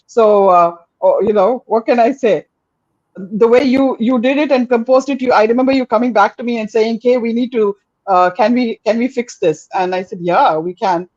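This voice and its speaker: A woman's voice: a little high, normal speed, no echo. Lady hosting a chatty podcast, keeps restarting sentences, dry muffled call audio. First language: Tamil. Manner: warm, rambling, with many restarts. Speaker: podcast host. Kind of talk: casual interview chat.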